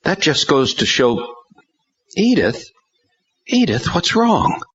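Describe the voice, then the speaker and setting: A man's voice: on the high side, big pitch swings, slow, a bit bright, husky, pitched above the performer's own voice, heavily acted. A man doing an earnest worried churchgoer. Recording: dull lo-fi recording.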